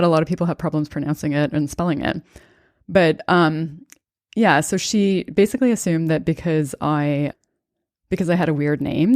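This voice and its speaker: Low-voiced woman telling a personal story. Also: no room sound, clean studio sound. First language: bilingual English and Estonian. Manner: calm, thoughtful, conversational with occasional fillers. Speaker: podcast host and coach.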